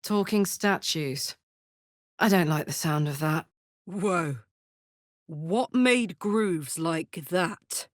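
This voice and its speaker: A woman playing a brash, warm-hearted tough warrior in a video game, blunt and sweary with a casual swagger and earnest feeling. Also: lowish voice, extremely slow, no room sound, studio quality. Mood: casual, teasing. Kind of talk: video game character voice lines.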